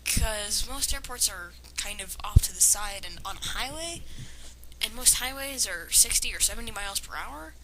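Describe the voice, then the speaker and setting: A woman's voice: medium pitch, expressive, normal speed. A woman reading a story aloud and breaking in with casual, sarcastic, nitpicking commentary, on an amateur recording. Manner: sarcastic, nitpicking, hesitant in asides. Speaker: amateur reader and commentator of fan fiction. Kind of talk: dramatic reading of a story with casual mocking asides. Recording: clearly noisy amateur home recording.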